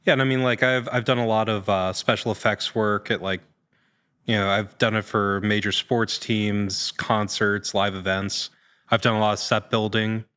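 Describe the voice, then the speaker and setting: A man speaking casually as an actor guest, pausing often as he searches for words. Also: on the low side, little pitch movement, pushed and bright, clear, resonant tone. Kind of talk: casual interview chat.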